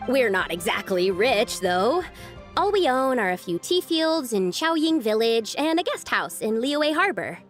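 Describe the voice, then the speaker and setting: An earnest young woman from a video game. Her voice is fairly high and expressive, confiding her troubles with determination. Soft music runs underneath. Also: measured pace. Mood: resigned.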